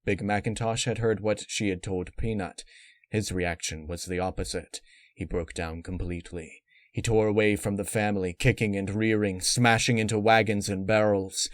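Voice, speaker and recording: Low-voiced man narrating a dark story. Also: fairly even intonation, normal speed, no echo. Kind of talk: scripted narration of dark fan fiction.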